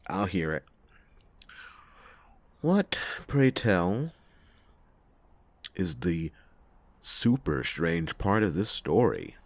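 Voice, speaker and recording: A man playing a cynical, reluctant, hard-drinking private detective in a noir ASMR roleplay, with a husky, gravelly voice and sardonic, theatrical delivery. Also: sits low, big pitch swings, dry recording, heavily acted. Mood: incredulous. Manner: sardonic, theatrical, halting speech with drawn-out emphasis. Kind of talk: ASMR detective roleplay, acted monologue to listener.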